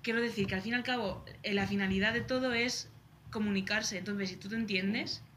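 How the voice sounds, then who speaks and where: A woman's voice: medium pitch, fairly even intonation, a little fast, fairly bright tone, slight background noise. A woman hosting a podcast, chatting casually and thinking aloud with friendly interjections. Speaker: podcast host. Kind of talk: casual chat.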